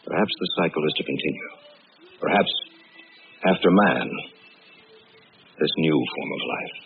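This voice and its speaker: Deep-voiced, grave radio-thriller scientist. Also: male voice, expressive, a little hiss or hum, heavily acted. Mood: foreboding. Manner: dramatic, deliberate, ominous. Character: grave scientist narrating a fog horror tale, urgent and fearful. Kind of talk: vintage radio thriller acting and narration. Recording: muffled old radio, loud music behind.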